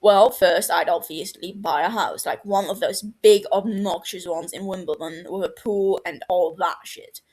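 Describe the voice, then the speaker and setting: A woman voicing a cheeky, excitable, foul-mouthed British man in his twenties in an audio story. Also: fairly low pitch, a little slow, few pauses, breathy edge. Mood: cheeky, boastful. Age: 20s. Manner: sweary, argumentative, boisterous. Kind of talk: fan fiction audio story dialogue.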